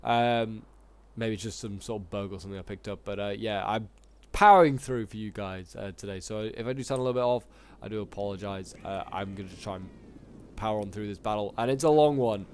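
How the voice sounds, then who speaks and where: A man with a sore throat, slightly hoarse, giving casual, rambling commentary on a strategy game battle with lots of fillers. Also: on the low side, brisk. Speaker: gaming YouTuber casting a multiplayer battle.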